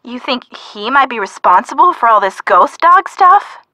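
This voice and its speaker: A brisk young woman quizzes her detective friend in a video game. Her voice is sharp and fairly high, with animated intonation and a wry, assertive edge. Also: no room sound. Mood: probing, skeptical. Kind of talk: scripted video game voice acting.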